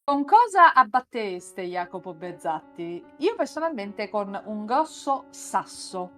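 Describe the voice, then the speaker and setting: A woman's voice: medium pitch, pushed and bright. An Italian woman hosting a casual live stream, bantering in a joking, playful and exclamatory way. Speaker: podcast and stream host.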